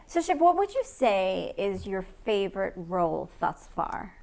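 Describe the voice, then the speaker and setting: Lady hosting an interview, medium pitch, conversational questions. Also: sing-song, a little slow, gravelly, a little mellow. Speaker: interviewer, host.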